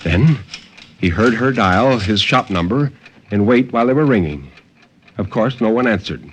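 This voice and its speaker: A man giving a dramatic, deliberate and intense reading of suspense fiction in 1950s radio style. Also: lowish voice, expressive, slightly rough. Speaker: story narrator. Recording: muffled, noisy 1950s radio recording.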